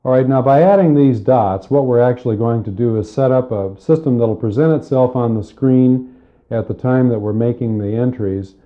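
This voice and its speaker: A man giving a methodical, step-by-step spoken computer lesson, explaining with pauses, recorded on cassette tape. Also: mid-range voice, muffled recording. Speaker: software tutorial instructor.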